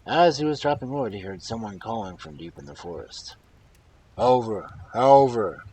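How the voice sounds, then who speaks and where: A man reading folktales aloud as host, in an expressive way with dramatic pauses and casual asides. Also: on the low side, slightly rough. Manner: animated, dramatic pauses and emphasis. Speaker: storyteller and host of a live reading stream.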